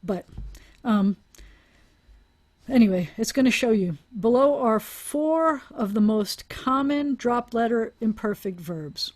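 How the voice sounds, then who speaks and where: A woman's voice: fairly high pitch, lively intonation, slow, a little mellow. A woman teaching a language class over a video call, explaining aloud with restarts and small laughs. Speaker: Hebrew teacher.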